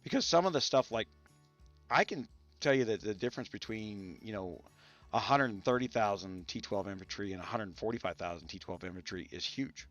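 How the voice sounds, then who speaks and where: Gravelly low-voiced man, coughing. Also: expressive, fairly quick, fairly bright tone, muffled recording, soft background music. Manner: rambling, many restarts and fillers. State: irritated throat, coughing. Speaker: gaming channel host. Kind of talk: casual podcast chat. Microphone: studio mics set up to spare his voice.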